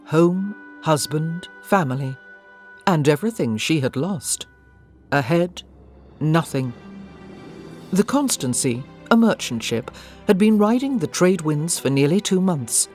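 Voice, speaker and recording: A woman's voice: fairly low pitch, a little mellow. A woman reading scripted literary narration as the narrator of an audio drama.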